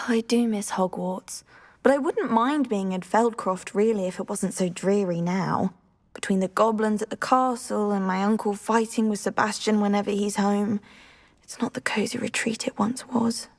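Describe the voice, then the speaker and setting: A young woman with a British accent playing a gentle, weary, cursed teenage girl in a video game, soft and resigned. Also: medium pitch, normal speed, close to an everyday voice. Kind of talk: video game cutscene acting.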